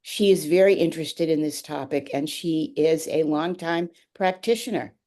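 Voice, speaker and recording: Woman host, low mellow voice, gracious introduction of a guest speaker. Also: normal speed. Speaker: webinar host.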